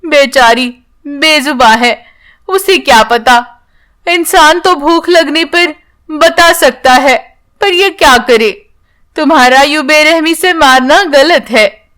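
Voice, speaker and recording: A kind-hearted, weary old grandmother acted out by a woman from a bedtime story. Her voice sits high and moves slowly, with an emotional, pleading delivery. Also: fairly bright tone. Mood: indignant pleading.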